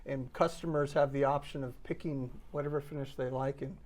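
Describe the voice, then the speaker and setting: A man being interviewed as a company representative, explaining a product conversationally in a halting way that repeats phrase openings. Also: mid-range voice, steady tone, darkish tone. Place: hotel exhibit room at an audio show.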